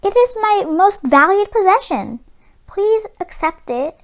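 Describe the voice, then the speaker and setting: Woman as a high-pitched, earnest orphan girl. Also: sing-song, a little slow, full character voice. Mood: earnest offering. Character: small lonely orphan girl, earnest and devoted. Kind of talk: children's storybook read-aloud.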